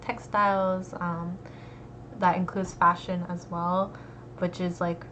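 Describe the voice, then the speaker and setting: A young American woman, an art student, talking casually and relaxed, with lots of fillers. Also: lowish voice. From United States. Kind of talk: casual conversation. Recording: dull lo-fi recording with faint noise.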